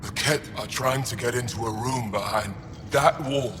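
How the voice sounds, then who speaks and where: An actor playing a weary, grateful alien man freed from captivity, speaking haltingly and earnestly in a video game. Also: mid-range voice, close to an everyday voice. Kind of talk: video game dialogue acting. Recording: long reverb, faint background noise.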